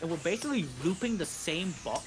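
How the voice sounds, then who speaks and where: A man with a fairly high voice commentates a video game speedrun, explaining it step by step. He often repeats and rephrases himself, with game music clearly playing behind him. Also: lively intonation, fast talker. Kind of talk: live gameplay commentary, explanatory.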